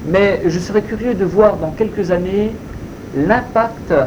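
Man, native Spanish speaker, interviewee; measured and very unhurried, pausing often, with constant noise in the background. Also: high voice, highs cut off. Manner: slow, deliberate, hesitant with frequent fillers. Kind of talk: answering interview questions.

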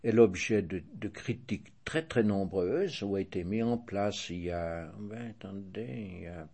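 An older man with a Swiss French accent answering interview questions in a measured, hesitant way, often restarting and correcting himself. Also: fairly low pitch, animated, warm tone, breathy edge.

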